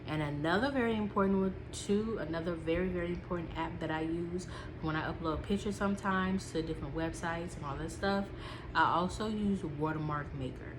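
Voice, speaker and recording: Stuffy-nosed woman, low voice. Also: fairly quick, noisy background. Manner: chatty, with frequent "um" and repeats. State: stuffy, sniffling. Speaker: small business vlogger. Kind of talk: casual talk from notes.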